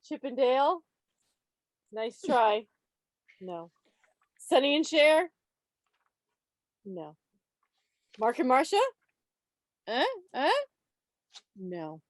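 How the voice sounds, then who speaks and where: Woman, quiz host, higher pitch, teasing and mock-dramatic, very dry video-call sound. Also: very animated, extremely slow, long pauses, no echo. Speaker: quiz host at a club meeting. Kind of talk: lively trivia quiz hosting.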